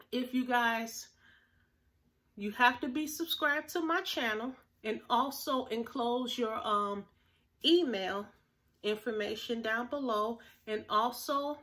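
A woman's voice: fairly high pitch, pushed and bright. A woman in her thirties chatting to viewers in a haul vlog, a little nasal from being sick, recorded on a phone at home. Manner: chatty, upbeat, addresses viewers directly. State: slightly nasal, recovering from illness. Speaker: vlogger. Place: small room at home, plain grey wall.

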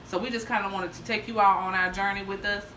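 A woman's voice: mid-range voice, steady tone, fast talker, sharp tone, slight background noise. A woman in her thirties, chatty and full of ums, filming a home renovation vlog on her phone in a large kitchen. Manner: chatty, thinking aloud with many ums. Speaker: vlogger. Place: large kitchen of an old house. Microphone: phone at arm's length, later propped far off. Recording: echoey room.

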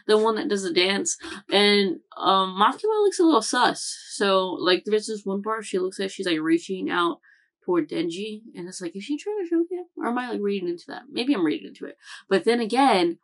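A young woman hosting an anime reaction channel, rambling casually from a room at home, with lots of fillers and self-corrections. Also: mid-range voice. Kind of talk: casual chat recap.

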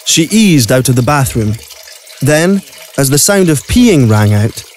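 A man's voice: medium pitch, sing-song, a little slow, a little hiss or hum. A man reading scripted story narration for an audio play. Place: recording studio.

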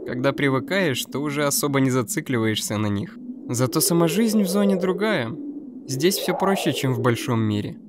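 A seasoned stalker guide, played by a man, wary and world-weary, in a cartoon dub. He has a mid-pitched voice over clearly noisy outdoor ambience with birds. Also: lively intonation, normal speed, close to an everyday voice. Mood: reflective. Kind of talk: dubbed animated web series dialogue.

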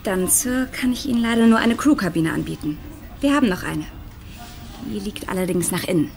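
A courteous female receptionist on a cruise liner in a radio drama. Her mid-pitched voice is formal and composed, over clear background music. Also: expressive, a little fast, a little mellow. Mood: apologetic, firm. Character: polite, apologetic female cruise ship receptionist. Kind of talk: naturalistic German radio drama acting.

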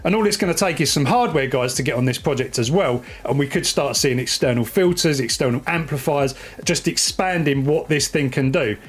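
Man, forties, slightly high pitch, raspy husky tone, chatty explainer, casual tech vlog. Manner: informal, chatty, hands-on explaining. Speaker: amateur radio YouTuber.

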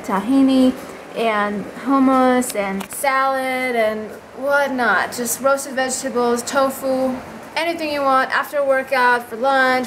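Bubbly high-voiced girl in her twenties filming a cooking vlog in her kitchen, noisy home video. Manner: bubbly, enthusiastic, tastes food on camera. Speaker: vlogger. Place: small apartment kitchen, indoors.

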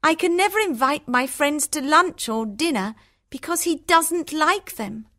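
A woman's voice: high voice, lively intonation, unhurried, sharp tone, pitched above the performer's own voice, heavily acted. A woman reading a storybook aloud and voicing two unhappy, envious adult sisters in a whiny, plaintive way.

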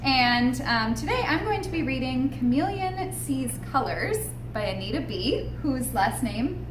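Animated lady doing storytime for little kids, high voice, echoey room w/ noise behind. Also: unhurried. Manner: animated, expressive, addressing small children. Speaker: children's museum storytime presenter. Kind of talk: read-aloud and tips for young children. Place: indoor room with painted mural wall. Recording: roomy echo, noticeable background noise.

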